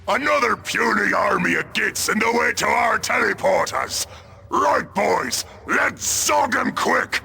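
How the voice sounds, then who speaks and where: An actor playing a brash, scheming ork captain in a video game, growling crude slang in a Cockney English accent. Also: male voice, sits high, animated, a little slow, pushed and bright, slight background noise, full character voice. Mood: scheming, rowdy. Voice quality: gravelly, growling. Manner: bellowing, crude ungrammatical slang. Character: brash, scheming male ork pirate captain hungry for loot. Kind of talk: video game cutscene acting.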